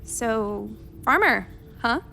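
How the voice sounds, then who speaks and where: An actress playing an earnest, slightly awkward ship's doctor in a radio play, speaking conversationally and a little hesitantly over faint music. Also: female voice, on the high side, lively intonation, extremely slow, creaky, bright, forceful tone, full character voice. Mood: curious, friendly. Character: earnest, awkward, caring woman doctor on a space mission.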